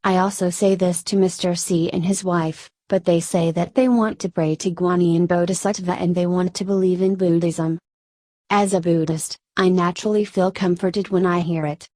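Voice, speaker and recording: A woman narrates a scripted story in the first person. Her voice sits a little on the low side for a woman. The sound is dry, with very little room in it. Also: fairly even intonation, moderate pace, dark tone, no room sound.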